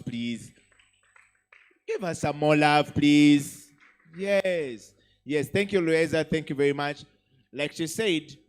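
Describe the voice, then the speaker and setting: A man hosting a live summit as MC, speaking in an emphatic, encouraging way and often repeating himself to call for applause. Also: mid-range voice. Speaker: event MC. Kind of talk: lively crowd-hosting, asking for applause. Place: summit hall with audience.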